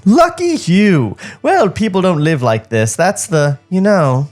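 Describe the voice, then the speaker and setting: A man's voice: high-pitched, big pitch swings, unhurried, a little mellow, faint music behind, pitched above the performer's own voice. A man voicing a bitter, nagging 1930s housewife who complains sarcastically about her husband in a comedic script reading. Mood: mock-pitying sarcasm. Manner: complaining, sarcastic, long-suffering.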